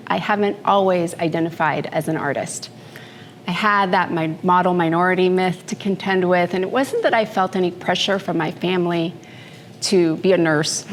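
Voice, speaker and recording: A woman in her fifties from the Philippines, giving a personal talk to a live audience in an unhurried, conversational way with fillers and asides. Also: on the low side, a little hiss or hum. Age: 50s. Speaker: speaker at a morning talk. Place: event room before an audience. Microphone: handheld microphone.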